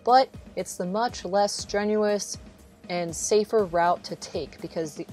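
A woman's voice: fairly low pitch, darkish tone. Woman narrating a trail guide over music. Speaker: travel vlog host narrating a hiking guide. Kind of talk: casual instructional voice-over narration. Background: background music.

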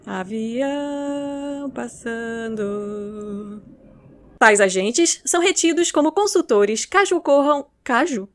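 A Brazilian woman with a high voice records scripted narration. She alternates formal reading with casual muttered asides. Her manner is self-mocking. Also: takes it slowly. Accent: Brazilian Portuguese. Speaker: narrator of horror fiction records.

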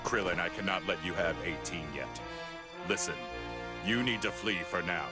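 A man voicing a stoic, towering android in an anime game, speaking in a formal, unemotional and deliberate way. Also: deep voice, over loud music, close to an everyday voice. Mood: grave. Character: stoic towering male android, calm and grave. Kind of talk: anime game dub acting.

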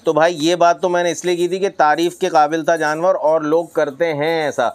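A man's voice: a little high, flat delivery, normal speed, clear, resonant tone. A middle-aged man giving a chatty, informal sales presentation as host, peppering his talk with pious phrases and English words. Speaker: host of livestock market videos. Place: roofed block-walled goat pen.

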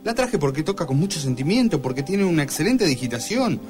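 An actor playing an encouraging but shifty piano teacher in a comic radio drama, with an Argentine accent. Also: male voice, a little high, big pitch swings, fairly quick, a little mellow. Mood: defensive.

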